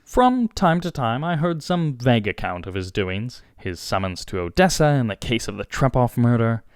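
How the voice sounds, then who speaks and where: Expressive male audiobook narrator, animated pitch. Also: normal speed, smooth, dry recording, clean studio sound. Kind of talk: scripted literary narration.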